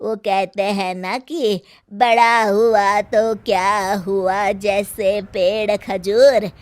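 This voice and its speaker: Lady doing a preachy old village auntie, super slow, mocking, cartoon story. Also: mid-range voice, fairly even intonation, no room sound. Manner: drawn-out, proverb-quoting scolding.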